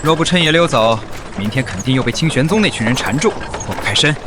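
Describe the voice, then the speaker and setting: An actor playing a hapless young man mistaken for a great master in an animated drama, exclamatory and theatrical. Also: medium pitch, quick pace, noisy background, close to an everyday voice. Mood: weary, breezy. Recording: music behind the voice.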